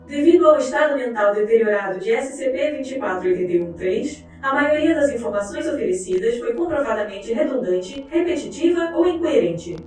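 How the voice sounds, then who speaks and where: A woman's voice: fairly high pitch, animated, brisk, few pauses, a little mellow. A woman reading a scripted document in a formal, clinical manner. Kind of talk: scripted narration of a fictional document. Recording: echoey, distant, with music behind. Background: music.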